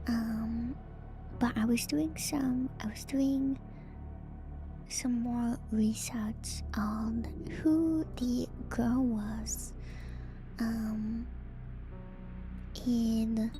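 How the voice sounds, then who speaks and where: A woman streamer whispering softly and breathily in an ASMR style, musing gently and hesitantly through game lore commentary close to the microphone. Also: a little high, steady tone, extremely slow, long pauses. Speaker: streamer, VTuber host. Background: music.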